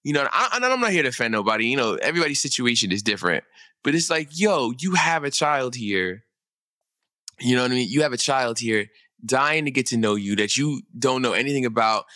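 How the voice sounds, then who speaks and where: A man talks in animated, heated bursts full of street slang, pitch swinging up when he gets worked up. His voice is bright and forward, recorded close and dry with no room sound. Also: a little fast, no room sound. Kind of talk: casual podcast chat.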